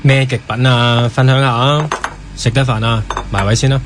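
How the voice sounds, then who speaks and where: A man's voice: medium pitch, steady tone, normal speed, noisy background, natural voice. A young man with a Hong Kong Cantonese accent playing a caring, wry older brother in a naturalistic radio drama.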